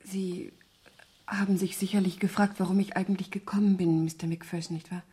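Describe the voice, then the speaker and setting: A woman's voice: on the low side, flat delivery, fairly quick, mellow, breathy edge. An actress playing a troubled, conflicted young woman, polite, hesitant and earnest, in a 1970s German radio crime drama. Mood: hesitant, uneasy.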